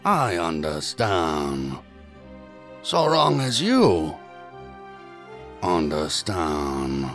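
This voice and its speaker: A stern zealot leader in a sci-fi radio play, solemn and preachy. His low, forceful voice swings widely in pitch as he commands. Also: wildly swooping pitch, very slow, pauses often, faint music behind, full character voice. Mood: grave. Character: stern, zealous male leader of a secret faith group. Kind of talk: science fiction audio drama acting.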